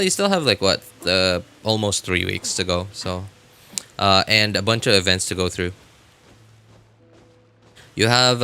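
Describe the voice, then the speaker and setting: A man chatting casually as a live game streamer, rambling and halting as he often repeats himself. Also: sits low, vocal fry, pushed and bright, clear, resonant tone.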